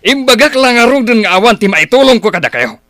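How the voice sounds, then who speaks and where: An actor playing a stingy middle-aged uncle in a radio drama, gruff and dismissive, with an Ilocano accent. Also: male voice, very high-pitched, big pitch swings, fast talker, fairly bright tone, no room sound, studio quality, exaggerated voice. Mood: scornful.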